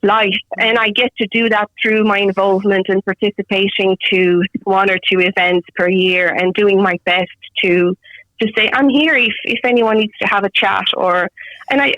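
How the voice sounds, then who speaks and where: A woman's voice: medium pitch, steady tone, moderate pace, no echo, lo-fi sound. A woman from Romania, speaking earnestly as a guest in an interview and often restarting her sentences. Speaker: guest solicitor. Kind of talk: conversational interview.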